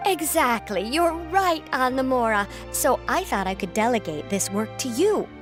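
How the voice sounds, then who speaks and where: Actress as high-voiced, earnest, excitable game townswoman. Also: normal speed, music in the background, natural voice. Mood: relieved, earnest. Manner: earnest, dramatic, storytelling. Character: earnest, superstitious, excitable woman heading a fishing association. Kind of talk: anime-style video game voice acting.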